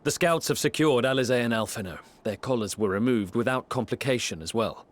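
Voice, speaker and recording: Man playing a calm, formal reporting comrade. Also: mid-range voice, lightly acted. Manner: measured, formal. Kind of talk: dramatic video game voice acting.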